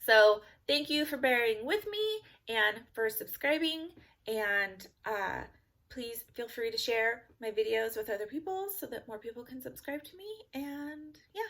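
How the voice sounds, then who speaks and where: A woman's voice: a little high, lively intonation, a little slow, fairly bright tone. Giggly Californian woman vlogging. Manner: chatty, giggly, rambling with fillers. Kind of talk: casual talk to camera.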